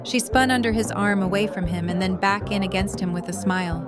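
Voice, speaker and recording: A woman's voice: mid-range voice, a little fast. Narrator: woman, mid-range voice, scripted story narration for audio drama, music underneath. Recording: music and party chatter behind. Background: music and crowd chatter.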